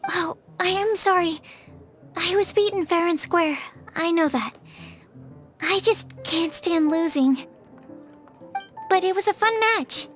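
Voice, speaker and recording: A woman's voice: very high-pitched, slow, pauses often, a bit bright, faint music behind, full character voice. A woman voicing an earnest, polite young female alchemist in a video game, speaking in a thoughtful, apologetic manner. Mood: sheepish, friendly. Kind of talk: video game character dialogue.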